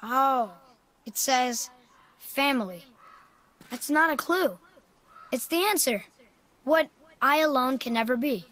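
An actor playing an eager, curious young boy in a video game, earnest and excitable. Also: extremely high pitch, takes it slowly, long pauses, heavily acted. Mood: thoughtful, curious. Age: child voice, young boy. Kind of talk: video game character acting. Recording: game music behind voice.

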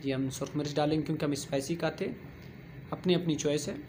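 A man's voice: fairly high pitch, fairly quick, a little mellow, slight background noise. A man narrating a home cooking tutorial, giving plain step-by-step instructions and repeating key phrases. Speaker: home cooking channel host.

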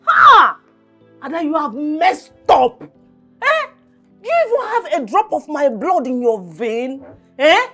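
A meddling, combative Nigerian mother in a screen drama, fiercely protective of her grown daughter. Her voice is high and bright, scolding through sharp questions and exclamations. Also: sing-song, measured pace, faint music behind, exaggerated voice. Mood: indignant, scolding. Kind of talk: screen family drama acting.

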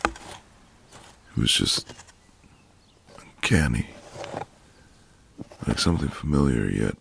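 Man acting a very deep, gravelly ex-marine neighbour. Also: expressive, background noise, exaggerated voice. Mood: somber, reflective. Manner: slow, casual, flirtatious drawl with pauses. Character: flirtatious, easygoing retired ex-marine neighbour man. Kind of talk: intimate romantic audio roleplay, ASMR.

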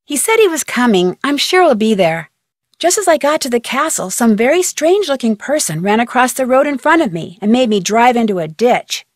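A young American woman detective in a video game. She is polite, curious and matter-of-fact, with a fairly high voice recorded close and dry. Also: very animated, a little fast, no echo. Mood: friendly, upbeat. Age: young adult. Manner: friendly, clear-spoken, matter-of-fact. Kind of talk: video game voice acting, phone dialogue.

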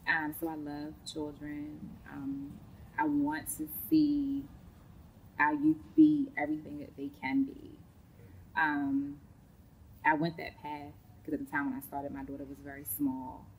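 A woman, a school counselor chatting as an interview guest, warm, with many ums. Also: low voice, lively intonation, unhurried, a little hiss or hum, poor audio. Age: late 30s. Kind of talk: casual interview talk. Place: large indoor sports facility studio.

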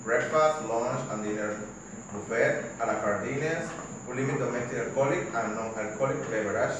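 A man's voice: medium pitch, brisk, natural voice. Young guy doing a polite hotel desk agent, formal and scripted, recorded far off in an echoey noisy room. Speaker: student. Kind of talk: classroom role-play phone call. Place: large classroom, indoors. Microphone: far camera.

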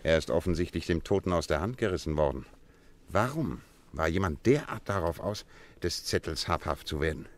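An actor playing a confident, courteous detective with a keen analytical mind, precise and polite in a German radio play. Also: male voice, low voice, animated, a little fast.

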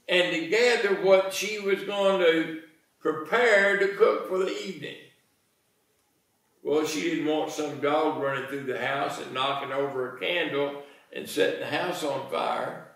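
An American man in his sixties preaching a sermon in a folksy, deliberate manner, pausing between phrases. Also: on the high side, fairly bright tone. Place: church sanctuary, indoors. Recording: very echoey church, distant microphone.

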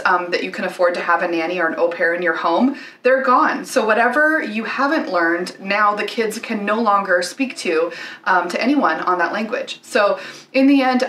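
A woman's voice: medium pitch, a bit bright. An American woman in her thirties talking casually to camera in a chatty, explanatory way. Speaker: company spokesperson, former nanny. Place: indoor living room. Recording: roomy, distant-sounding mic.

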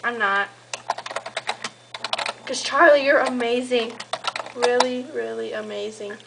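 High-voiced young woman teasing on a call. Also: steady tone, slow, vocal fry. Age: young adult. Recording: noisy amateur home camera recording.

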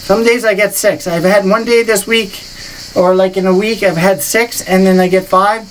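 A woman in her thirties talks casually to a handheld camera while walking around a rural farm. She rambles and restarts her phrases. Crickets and insects are clearly heard outdoors behind her. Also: medium pitch, fairly even intonation, moderate pace, a little hiss or hum, rough recording. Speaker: vlogger showing her farm. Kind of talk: casual walk-and-talk vlog.

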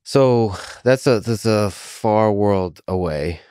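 A young man hosting a podcast, asking calm, slow, measured questions into a boom mic in a small office. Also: smooth, dry recording, clean studio sound. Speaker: podcast host. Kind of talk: interview questions. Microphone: studio mic on boom arm.

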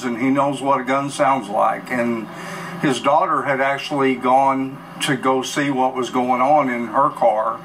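American man, 60s, mid-pitched, sober halting news interviewee giving a factual account, heard at a distance through noise. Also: a bit bright. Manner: sober, halting, matter-of-fact. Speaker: interview guest. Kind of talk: recounting events in a news interview. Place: news bureau studio, replayed on a TV in a room. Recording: distant TV filmed off screen, clear noise.

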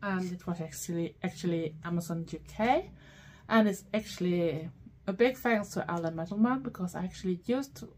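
A woman's voice: on the low side, moderate pace, a little mellow. A middle-aged woman from Sweden with a Swedish accent, chatting casually as a vlogger through a haul, rambling with many fillers. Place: small dark room indoors.

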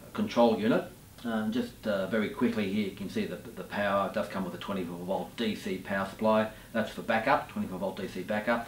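A man, demonstrating a product off the cuff in a hesitant, halting way. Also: fairly low pitch. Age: 50s. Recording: roomy, distant mic with background noise.

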